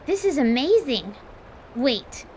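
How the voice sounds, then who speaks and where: A woman playing an excitable young apprentice magician girl full of wide-eyed wonder in a fantasy audio drama. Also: high-pitched, slow pace, slight background noise, heavily acted, rough recording. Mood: excited, delighted. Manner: wide-eyed, gushing, exclaiming.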